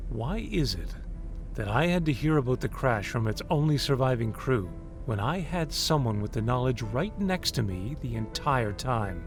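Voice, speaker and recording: Male actor as cold boss interrogating, measured, music under. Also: mid-range voice, lively intonation, close to an everyday voice. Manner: measured, accusatory. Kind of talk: audio drama acting.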